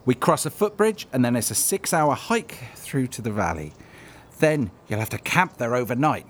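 A man's voice: mid-range voice, sing-song, normal speed, slight background noise, lightly acted. A man playing a nervous, reluctant woodland guide in a comedic audio drama, whiny and stammering, eager to please. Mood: grudging. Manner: whiny, stammering, rambling excuses. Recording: clear outdoor water ambience behind.

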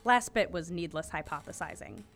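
A woman's voice: lowish voice, lively intonation, normal speed, gravelly, soft background music. A woman playing a bookish, curious teenage investigator in an audio drama, precise, wry and matter-of-fact. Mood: analytical. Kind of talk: naturalistic audio drama acting.

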